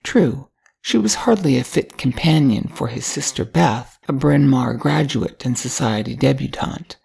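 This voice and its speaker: A woman's voice: rumbling low, lively intonation, a little slow, gravelly, mellow, husky. An older woman narrating a short story from a script as an audiobook reader.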